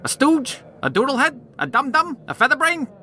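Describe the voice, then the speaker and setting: An actor playing a flippant, callous state warden, glib and slangy, in a comedic radio play. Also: male voice, very high-pitched, lively intonation, normal speed, sharp tone, full character voice. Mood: glib, mocking. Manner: glib, slangy, mangles big words.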